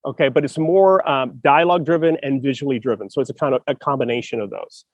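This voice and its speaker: A man's voice: medium pitch, quick pace, no echo, muffled recording. A man hosting a casual chat about writing, talking with enthusiasm and thinking aloud with many restarts. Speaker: podcast host.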